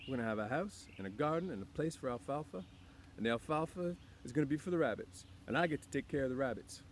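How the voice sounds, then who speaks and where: Guy doing big childlike simple farmhand, earnest n soft-hearted, voice goes up, bit of background noise. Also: mid-range voice, animated, fast talker, voice pushed up, lightly acted. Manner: earnest, childish, plain rural speech. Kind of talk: audiobook character voice.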